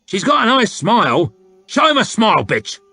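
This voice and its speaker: A man voicing a coarse, bullying soldier who haggles loudly over a price. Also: huge pitch swings, moderate pace, dry recording, heavily acted. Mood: wheedling. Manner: loud, high and bullying. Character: coarse loud male slave-driving soldier, crude and haggling.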